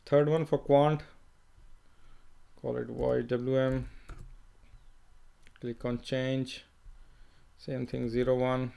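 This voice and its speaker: A man walking through a screen-recorded software tutorial, plainly explaining each step with fillers and self-corrections. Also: medium pitch, level tone, takes it slowly, gravelly, pauses often, clear, resonant tone. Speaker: software trainer.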